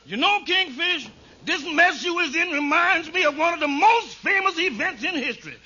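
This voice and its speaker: Pompous sitcom lawyer pal, really swoopy voice, declaims everything, old lo-fi radio sound. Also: male voice, extremely high pitch, pushed and bright, exaggerated voice. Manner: theatrical, declamatory delivery. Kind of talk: 1940s radio comedy acting. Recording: dull lo-fi old radio recording.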